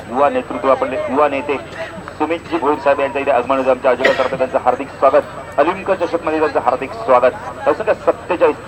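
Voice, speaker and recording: A male cricket commentator with a slightly high voice works a local tournament outdoors. He shifts between measured announcing and excited calls. A noisy crowd is clearly heard around him.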